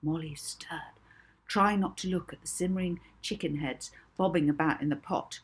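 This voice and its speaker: A woman reading a children's book aloud as expressive audiobook narration. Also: low-pitched, normal speed, breathy edge. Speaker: author reading own book.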